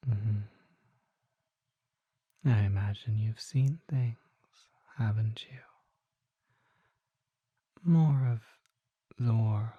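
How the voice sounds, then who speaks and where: A performer voicing a courteous, curious vampire apothecary in an ASMR roleplay, soft and often whispering, with genteel, leisurely, musing speech. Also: mid-range voice, very slow, long pauses, husky, no echo, muffled recording.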